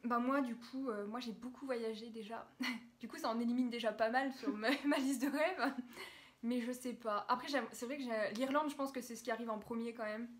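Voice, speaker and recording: A young woman with a fairly high voice chats easily with her friend about personal topics. She giggles often between phrases and keeps the tone light and relaxed. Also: fairly quick. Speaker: co-host of a lifestyle blog channel. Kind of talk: casual chat answering viewer questions.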